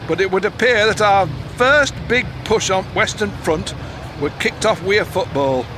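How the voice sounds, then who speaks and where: An actor playing a blunt, canny 1910s newspaper editor with a Northern English accent, gruff and teasing, in an audio drama. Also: male voice, high-pitched, unhurried. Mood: sly, explaining. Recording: noisy moving-car ambience behind.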